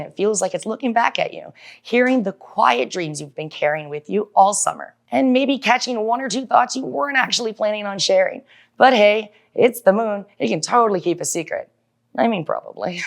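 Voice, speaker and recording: A woman hosting a show, delivering a scripted monologue in a playful, theatrical hype style. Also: medium pitch. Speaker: show host.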